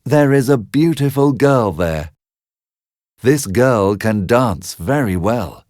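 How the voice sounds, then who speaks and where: A man voicing a fisherman's soul in a bedtime story, a calm, sly tempter with a coaxing, soothing delivery. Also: medium pitch, slow, no echo, studio quality, pitched above the performer's own voice.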